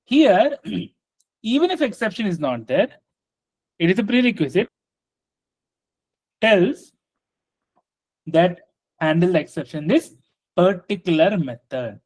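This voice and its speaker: A man teaching an online software class over a video call, often checking in with his students, with a slight cough. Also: high voice, big pitch swings, slow pace, pauses often, poor audio. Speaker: software trainer.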